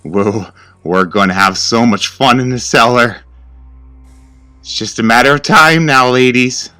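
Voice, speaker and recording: A man's voice: mid-range voice, measured pace, sharp tone, soft background music, pitched above the performer's own voice. A man voicing a sadistic, hungry cannibal killer who taunts and savors in a horror story. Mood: hungry excitement. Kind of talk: horror story character acting.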